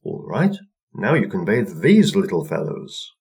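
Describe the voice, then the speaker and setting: A man voicing a kindly, gently bossy old woman in a storybook tale. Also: low voice, huge pitch swings, measured pace, gravelly, exaggerated voice. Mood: satisfied, kindly. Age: older. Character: kindly old woman with a long pointy chin, mistress.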